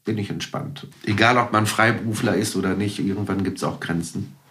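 A man's voice: on the low side, fairly even intonation, a little fast, fairly bright tone. A middle-aged man, chatting casually as an interview guest on a podcast. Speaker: podcast guest, show writer.

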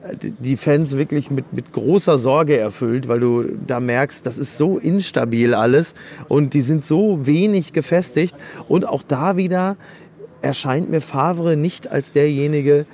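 A man's voice: a little high, unhurried, mellow. A man co-hosting a football podcast, giving his views in casual, conversational talk.

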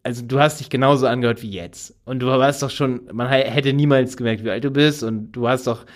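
Man, late twenties, podcast co-host, animated, laughs a lot, middle pitch. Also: a little fast. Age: 20s. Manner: animated, emphatic, laughs often. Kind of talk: casual chat.

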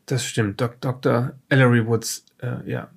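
A man playing a tense, defensive occult professor under questioning, halting and testy in an improvised audio drama. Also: medium pitch, level tone, gravelly, breathy edge. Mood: guarded.

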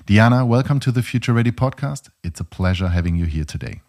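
A man hosting a podcast, reading a measured, formal introduction and then asking interview questions. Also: on the low side, lively intonation, darkish tone. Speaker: podcast host.

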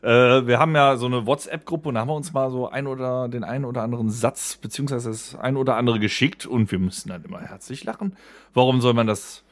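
A man's voice: mid-range voice, quick pace, no room sound. A man co-hosting a podcast with casual, bawdy jokes and colloquial talk. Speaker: podcast co-host. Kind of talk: casual, joking podcast banter.

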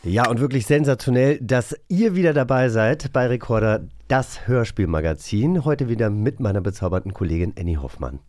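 A man's voice: mid-range voice, lively intonation, brisk, hardly any pauses, darkish tone. A man co-hosting a podcast, chatting casually in a rambling, self-correcting way. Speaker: podcast co-host. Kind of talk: casual chat.

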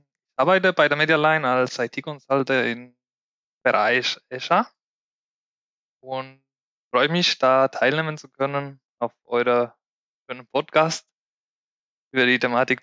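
A man's voice: mid-range voice, sharp tone, smooth, no echo, muffled recording. A man from Spain with a Spanish accent and a regional German dialect, a podcast guest speaking German carefully and sometimes searching for words. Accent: Spanish, with a Lower Franconian German dialect from the Aschaffenburg area. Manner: careful, searching for words, occasional grammar slips. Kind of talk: casual interview chat.

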